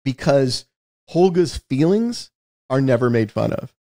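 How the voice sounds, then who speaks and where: Animated man breaking down a film. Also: mid-range voice, a little slow, no room sound, clean studio sound. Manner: emphatic, explanatory, often checks in with "right?". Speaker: podcast host. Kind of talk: conversational film analysis.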